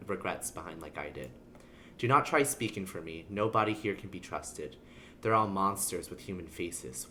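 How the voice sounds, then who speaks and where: A man's voice: deep voice, sharp tone, slight background noise. A man livestreaming a game, reading text aloud and adding casual, playfully sarcastic commentary. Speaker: game streamer.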